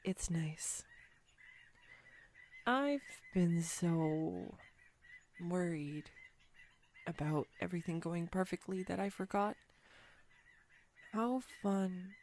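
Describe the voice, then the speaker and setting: A woman's voice: fairly low pitch, extremely slow, pauses often, darkish tone, a little hiss or hum. A woman playing a clumsy, giggly, self-deprecating girlfriend on a date, in an intimate one-sided roleplay audio drama. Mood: playful. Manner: flustered, self-deprecating, chatty with bursts of laughter.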